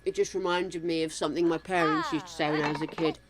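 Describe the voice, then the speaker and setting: A woman's voice: low voice, fairly even intonation, fast talker. An older woman streaming a game, chatting casually and musing aloud to her viewers as she builds. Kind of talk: casual gameplay chat. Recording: game music behind the voice.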